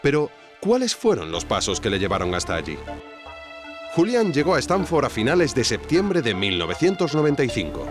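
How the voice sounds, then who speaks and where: A man's voice: medium pitch, huge pitch swings, fairly quick, over music. A man narrating a scripted documentary-style story, wryly addressing the listener directly. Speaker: narrator and host.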